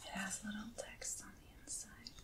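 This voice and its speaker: A woman speaking in a hushed, breathy whisper in a gentle ASMR style, close to the microphone. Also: moderate pace. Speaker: ASMR video host. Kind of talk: soft ASMR unboxing talk. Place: small indoor set at a table. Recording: clear background hiss.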